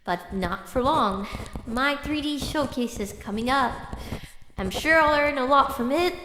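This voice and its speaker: A woman playing a gentle, apologetic young woman in an audio drama, soft-spoken and hesitant, often trailing off. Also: high voice, lively intonation, unhurried, slight background noise, exaggerated voice, low-quality recording. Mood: quiet, wistful. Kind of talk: animatic audio drama acting, naturalistic dialogue.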